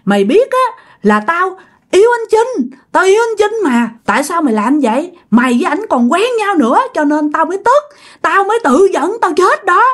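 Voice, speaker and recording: A vengeful female ghost, acted by a woman storyteller, with a high, wildly swooping Southern Vietnamese voice. She is jealous and bitter, hammering repeated accusations and curses at the one who betrayed her. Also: big pitch swings, normal speed, a bit bright, husky, full character voice. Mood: accusing, anguished. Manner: accusing, repeats phrases insistently, bursts of menacing laughter.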